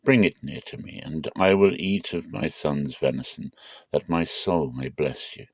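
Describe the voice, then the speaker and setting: A man playing an aged patriarch in a scripture reading, slow and weighty, a searching and solemn father. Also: extremely low pitch, dry recording, heavily acted.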